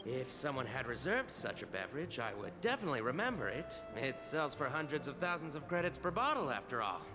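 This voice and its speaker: A man's voice: medium pitch, very animated, a little fast. An actor playing a polite, accommodating male hospitality worker in a video game, formal and obliging in manner. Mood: courteous, puzzled. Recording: game music behind the voice.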